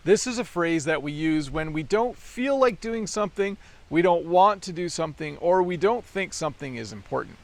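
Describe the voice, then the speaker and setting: A middle-aged Canadian man with a Canadian accent explains English phrases in an easygoing, friendly way, dropping in casual fillers. His voice sits a little high and has a gravelly edge. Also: lively intonation, moderate pace, no room sound. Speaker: English teacher. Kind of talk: English lesson.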